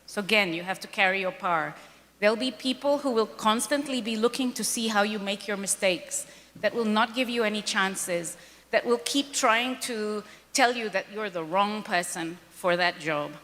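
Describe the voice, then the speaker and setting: A woman's voice: medium pitch, bright, forceful tone. A woman from Kenya speaking earnestly on a panel, pressing her points and repeating them for emphasis. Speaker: panel speaker, foundation president. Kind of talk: speech at a panel event. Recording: long reverb of a large hall.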